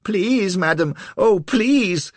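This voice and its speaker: A man voicing a timid little male court official, shrill and pleading, close to tears. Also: sing-song, moderate pace, no echo, full character voice. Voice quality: shrill, rising to a squeal. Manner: stammering, cowering, near tears.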